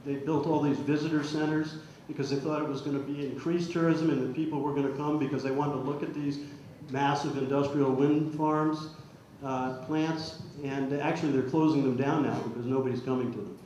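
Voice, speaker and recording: Halting man in a very echoey hall. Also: fairly high pitch, steady tone, a little mellow. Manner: halting, hesitant, repeating words. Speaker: panelist at a public forum. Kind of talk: answering audience questions off the cuff. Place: public meeting hall. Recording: muffled, very echoey hall, faint noise.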